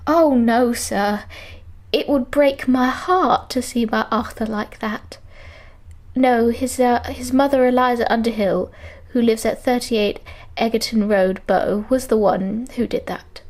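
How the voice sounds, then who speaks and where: A woman's voice: on the high side, measured pace, darkish tone. A woman playing a grieving young fiancée, hesitant and respectful as a witness in an audio drama. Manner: hesitant, deferential. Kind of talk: scripted audio drama acting.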